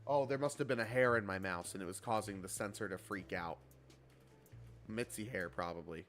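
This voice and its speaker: A man's voice: lowish voice, normal speed, fairly bright tone, soft background music. A man of twenty-seven chatting casually on a game livestream, bantering playfully and swearing freely. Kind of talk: casual livestream chat while gaming.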